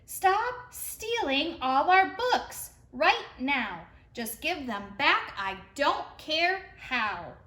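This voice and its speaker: A woman's voice: high voice, very animated, very slow, few pauses, sharp tone, roomy sound, voice pushed up, full character voice. A woman voicing a brave, strict young female rabbit from a storybook, with a bold, firm manner. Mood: angry, demanding.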